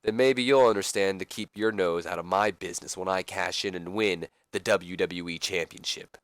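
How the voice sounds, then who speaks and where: A man performs a determined wrestler out for revenge. The delivery is a firm, threatening promo in a lowish, dry voice. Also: moderate pace, a bit bright, no room sound. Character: determined, vengeful male wrestler threatening his rival.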